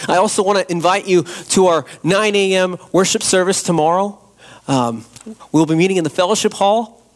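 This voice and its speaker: A hoarse man preaching a Christmas Eve sermon and leading prayer as a pastor, slow and earnest. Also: high-pitched. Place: church.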